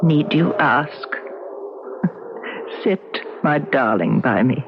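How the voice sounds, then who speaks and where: A woman's voice: deep voice, animated, very slow, full character voice. An actress playing an ageless, lovingly possessive ghostly woman in an intimate, cryptic radio drama style. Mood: tender, expectant. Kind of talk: old radio drama acting. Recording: dull, noisy old radio recording.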